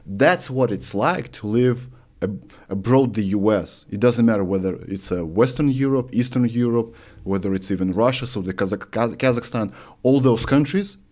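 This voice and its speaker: Male interview host, fairly low pitch, earnest phrasing. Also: darkish tone. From Lithuania. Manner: earnest, slightly halting, non-native phrasing. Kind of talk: interview questions.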